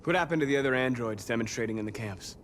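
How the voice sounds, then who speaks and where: A man's voice: mid-range voice, quick pace, slight background noise, natural voice. A man playing a resolute android rebel leader in a video game, speaking with grave, earnest restraint. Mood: wary, resolute. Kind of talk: cinematic video game acting.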